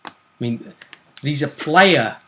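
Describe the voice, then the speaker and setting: A man with a distinctly bright voice at a middle pitch hosts a tabletop role-play show. He talks casually and explains things in a loose, thinking-aloud way, often restarting a thought to put it another way. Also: lively intonation, takes it slowly. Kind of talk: casual discussion of game match-ups.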